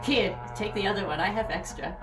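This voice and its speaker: A woman voicing an upbeat, stubbornly friendly high school girl in a comic fan dub, with a blunt, breezy, casual manner. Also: fairly quick, over music, natural voice.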